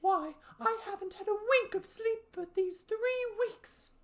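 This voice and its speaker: A woman voicing a frantic, indignant, suspicious pigeon from a storybook, scolding in drawn-out shrieks. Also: very high-pitched, pitched above the performer's own voice. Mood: exasperated, sobbing. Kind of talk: storybook character voice.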